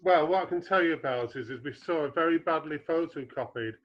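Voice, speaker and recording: A man with a low baritone voice, a guest author in a podcast interview, explaining at length with homely comparisons. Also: fairly quick, lo-fi sound.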